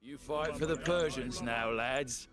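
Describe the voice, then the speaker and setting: A man's voice: mid-range voice, measured pace, close to an everyday voice. An actor playing a pragmatic, roguish mercenary captain, blunt and informal as he addresses his men in a game. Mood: brisk, matter-of-fact. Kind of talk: dramatic game voice acting.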